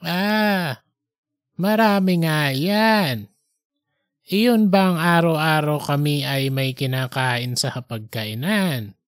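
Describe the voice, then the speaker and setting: A performer voicing a devout, earnest man in his late eighties for an audiobook story, speaking slowly and deliberately. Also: mid-range voice, no echo, clean studio sound, close to an everyday voice. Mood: devout, grateful. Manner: slow, deliberate, hesitant openers. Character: pious, earnest 87-year-old Filipino grandfatherly man. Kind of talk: fictional audiobook character dialogue.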